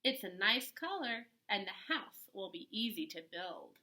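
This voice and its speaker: A woman's voice: medium pitch, sing-song, a little slow, pushed and bright. A woman voicing a young, lazy and cheeky male pig from a storybook with breezy defiance.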